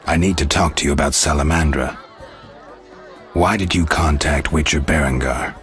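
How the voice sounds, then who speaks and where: Gruff, very deep stoic monster hunter. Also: male voice, normal speed, soft background music. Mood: cool, probing. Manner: terse, flat, understated. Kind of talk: video game voice acting.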